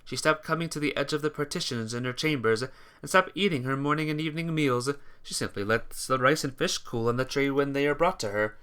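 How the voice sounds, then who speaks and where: A man's voice: mid-range voice, a little fast, bright, forceful tone, clean tone. A man reading a scripted story aloud as narrator, with the occasional small stumble. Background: instrumental music.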